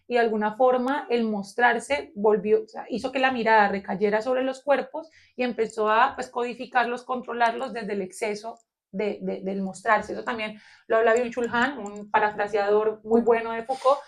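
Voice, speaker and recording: A Colombian woman with a Colombian accent, co-hosting a philosophy discussion and thinking aloud in an animated way, with many fillers. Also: fairly high pitch. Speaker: podcast co-host. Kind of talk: philosophical round-table discussion.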